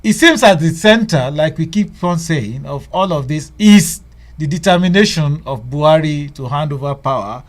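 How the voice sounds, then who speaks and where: A man's voice: on the high side, expressive, normal speed. A male radio host talking casually, with many fillers and restarts.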